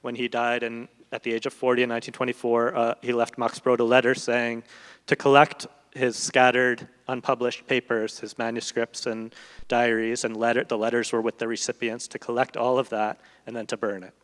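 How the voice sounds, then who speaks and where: A man speaking as a guest translator in a literary discussion, explaining thoughtfully in long, halting sentences. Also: medium pitch, level tone, fairly bright tone. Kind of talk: literary discussion before an audience. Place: large event hall, live public talk. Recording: echoey hall with long reverb.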